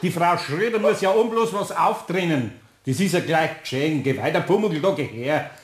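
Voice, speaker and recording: A man's voice: a little high, animated, normal speed, lightly acted. A man playing a Bavarian old carpenter. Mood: pleading. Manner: warm-hearted scolding, chuckling, sighing. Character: kindly older male master carpenter, patient and fussing. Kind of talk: 1960s-80s radio play acting.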